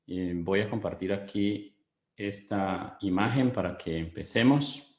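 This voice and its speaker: Low-voiced Colombian man presenting. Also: roomy sound. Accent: Colombian Spanish. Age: middle-aged. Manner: measured, explanatory, with frequent hesitation fillers. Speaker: business consultant presenting a webinar. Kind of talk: webinar presentation.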